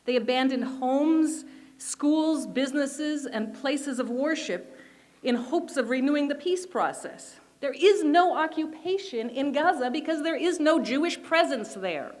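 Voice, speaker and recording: High-voiced middle-aged woman giving a rally speech. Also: lively intonation, darkish tone. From Israel. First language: Hebrew. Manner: emphatic, deliberate oratory with repeated phrases. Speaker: community organization chair speaking at a rally. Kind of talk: prepared political speech.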